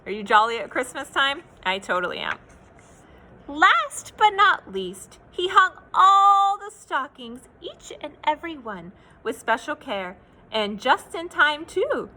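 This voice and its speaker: A woman reading a children's picture book aloud in an expressive, playful, sing-song way, with asides to young listeners. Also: sits high, measured pace. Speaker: children's read-aloud storyteller.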